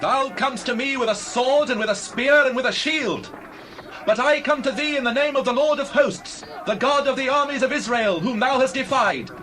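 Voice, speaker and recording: A bold young shepherd boy, acted by a man, speaks in a high, earnest, declamatory voice. He sounds devout and fearless, as in a scripture drama, with some noise behind him. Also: moderate pace, a bit bright. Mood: defiant.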